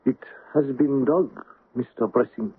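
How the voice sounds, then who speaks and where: An actor playing a formal, discreet and deferential servant in a radio drama. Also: male voice, mid-range voice, measured pace, slightly rough. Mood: solemn. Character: formal, discreet male servant, grave and loyal. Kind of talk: 1970s radio drama acting. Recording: tinny narrow old radio recording.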